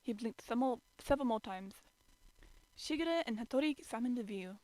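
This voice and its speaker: A woman's voice: fairly high pitch, animated, a little fast, a little mellow, no echo. A woman giving an informal, amateur dramatic reading of a story as narrator. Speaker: amateur fan fiction reader.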